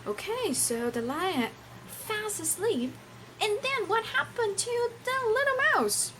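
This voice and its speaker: A woman reads aloud to children in a high, hugely varied voice. She is a non-native English speaker with a theatrical, teacherly manner, taking her time and putting questions to her listeners. There is some faint noise behind her. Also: lively intonation, slow, fairly bright tone. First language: not English. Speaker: storyteller reading a picture book to children.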